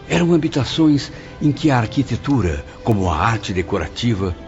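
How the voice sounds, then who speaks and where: An actor playing a repentant male spirit who reflects solemnly on his afterlife in an audio drama, with measured literary phrasing. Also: lowish voice, darkish tone. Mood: wondering. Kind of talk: audio drama acting and first-person narration. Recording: dull, band-limited audio under clear music.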